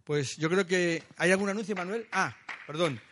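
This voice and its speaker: Male church host, Spain accent, higher-than-average pitch, conversational and slightly halting, addresses the congregation with questions and announcements. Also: fairly bright tone. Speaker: church meeting leader. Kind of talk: informal church announcements, greeting visitors.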